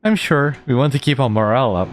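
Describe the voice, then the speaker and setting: A man's voice: mid-range voice, animated, fast. A man giving casual gameplay commentary as a streamer, reacting in a slangy, exclamatory way. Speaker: streamer playing and reacting to a game. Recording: game music faintly behind.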